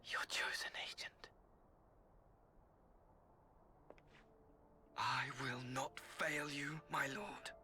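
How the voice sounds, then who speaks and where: Piercingly bright, fawning devoted henchman. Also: fairly high pitch, steady tone, faint music behind. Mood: eager submission. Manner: obsequious, florid archaic speech, halting. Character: fawning, fanatically devoted male servant of a lord. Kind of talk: video game cutscene acting.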